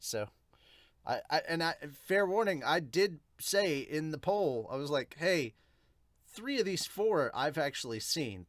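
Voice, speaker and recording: A man's voice: mid-range voice, dry recording. A man hosting a podcast, chatting informally and directly to his viewers. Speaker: host of a manga reaction podcast. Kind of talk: casual chat to viewers and manga read-aloud with commentary.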